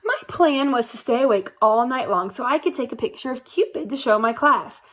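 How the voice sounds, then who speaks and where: A woman reading a picture book aloud to young children in an animated, expressive way, speaking to them directly. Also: a little high, a little fast, a little hiss or hum.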